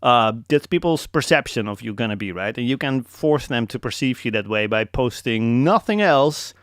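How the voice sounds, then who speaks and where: A man's voice: mid-range voice, lively intonation, normal speed, a bit bright, no echo, clean studio sound. A young man from the Netherlands with a Dutch accent, hosting a casual live coaching call and hammering home his points with repetition. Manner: emphatic, repeats key points, calls listeners man.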